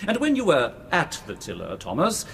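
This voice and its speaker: A man's voice: fairly high pitch, huge pitch swings, normal speed, creaky, a bit bright, slight background noise, full character voice. An actor playing a pompous, insistent male prosecuting barrister in a period courtroom radio drama. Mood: probing.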